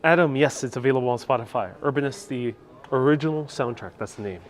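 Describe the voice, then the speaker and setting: A man's voice: medium pitch, fast. Chatty man hosting a live stream. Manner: chatty and upbeat, thanks viewers by name. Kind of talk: casual live stream chat, reading viewer comments. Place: outdoors in a city at sunset. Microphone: wireless clip-on mic.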